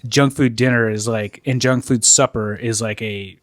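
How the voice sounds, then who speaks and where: A man's voice: medium pitch, fairly even intonation, moderate pace, dry recording. A man co-hosting a casual podcast chat, rambling loosely and swearing as he talks into a studio mic. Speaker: podcast co-host.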